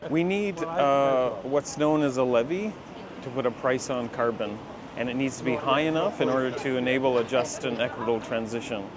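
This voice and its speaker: A man giving a measured, halting explanation in an outdoor interview. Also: mid-range voice, very noisy.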